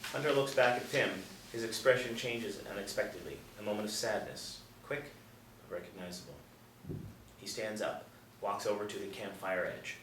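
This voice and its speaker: Lowish-voiced man narrating in an echoey room. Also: moderate pace, fairly bright tone. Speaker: narrator reading stage directions. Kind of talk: scripted narration at a table reading. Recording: roomy, distant mic, faint noise.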